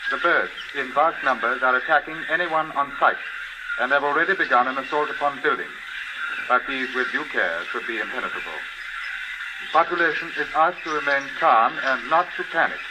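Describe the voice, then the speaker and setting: An actor playing a formal, grave London radio announcer reading an emergency bulletin in 1950s radio drama style, stately and emphatic. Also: male voice, medium pitch, lightly acted. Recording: noisy old radio broadcast recording.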